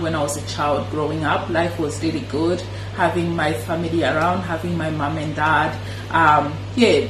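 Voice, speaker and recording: A woman from Burundi telling her own refugee story in an earnest, unhurried way, with frequent pauses. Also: on the low side, background noise. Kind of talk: personal testimony. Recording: echoey room with music behind.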